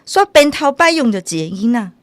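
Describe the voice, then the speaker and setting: A protective country aunt in a radio play, quick to scold and demand fairness. Her voice moves animatedly up and down over a faint bed of music. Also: on the high side, sing-song. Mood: indignant. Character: sharp-tongued rural aunt, protective and indignant. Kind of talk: Taiwanese radio drama acting.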